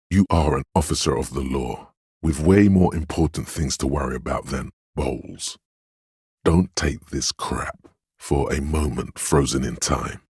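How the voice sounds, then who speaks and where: An actor playing a stern, commanding inner voice of police authority in a video game, giving imperious, clipped orders. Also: male voice, very deep voice, darkish tone, slightly rough, dry recording, studio quality, exaggerated voice. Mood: solemn, proud. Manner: imperious, clipped orders, addressing listener as recruit. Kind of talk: video game character narration.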